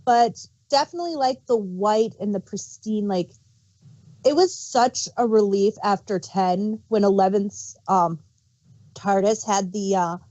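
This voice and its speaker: A woman's voice: medium pitch, measured pace, warm tone, no room sound. A woman co-hosting a podcast, chatting casually and giving her opinions as a fan, on dry, slightly dull audio. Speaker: podcast co-host.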